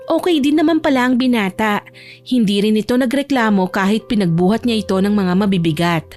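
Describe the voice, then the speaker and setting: A woman narrating a scripted romance story as an audiobook-style narrator. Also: medium pitch.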